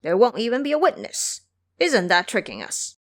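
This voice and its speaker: A woman's voice: a little high, huge pitch swings, normal speed. A woman voicing a chattering, complaining male pig disciple in an audio drama.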